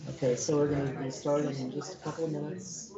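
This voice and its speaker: A man organizing a gathering, casually explaining the setup in a brisk, matter-of-fact way in an indoor meeting room. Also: fairly high pitch, flat delivery. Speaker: event organizer setting up the stream. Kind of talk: casual practical instructions. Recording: roomy, dull lo-fi sound, loud crowd chatter.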